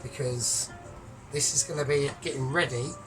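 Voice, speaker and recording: A man's voice: medium pitch, moderate pace. A man chatting his way through a cooking tutorial, explaining each step with joking asides. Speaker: home cook. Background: music.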